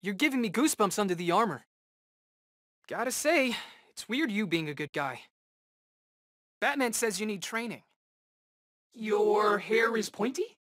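An actor playing a cocky, eager young rookie superhero in a video game, bantering in casual, wisecracking slang. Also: male voice, high-pitched, very animated, measured pace, pauses often, fairly bright tone, full character voice. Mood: confident, taunting. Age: young adult.

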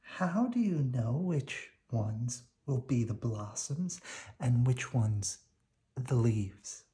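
A man's voice: lively intonation, takes it slowly, warm tone. A man voicing small, eager, caring young mice in a storybook, exclaiming with wonder. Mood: admiring. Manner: high-pitched, wondering, exclaiming.

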